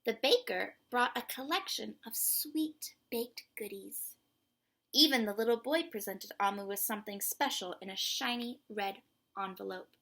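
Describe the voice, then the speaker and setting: A woman with a breathy voice reads aloud and explains simply to young children in an expressive, emphatic way. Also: fairly high pitch. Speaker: children's story reader and host.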